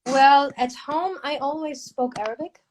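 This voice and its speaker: A woman born in Germany, a singer, talking relaxed in an interview with restarts and small grammar slips in English. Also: high voice, a little slow, a little hiss or hum. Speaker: guest singer. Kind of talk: casual interview conversation. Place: on a video call from abroad.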